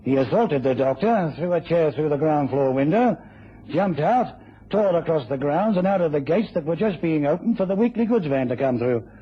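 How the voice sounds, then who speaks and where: Earnest english copper in a radio drama, bit raspy, plain-spoken, dull old broadcast sound. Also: male voice, on the high side, animated, normal speed. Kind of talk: British radio drama acting.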